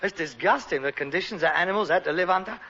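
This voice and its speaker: A man's voice: high voice, quick pace, pushed and bright, full character voice. A man playing an exasperated, pretentious Cockney rag-and-bone man, a put-upon grown son in a sitcom, whining and indignant. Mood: indignant ranting. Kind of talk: British sitcom acting. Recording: dull, noisy old sitcom recording.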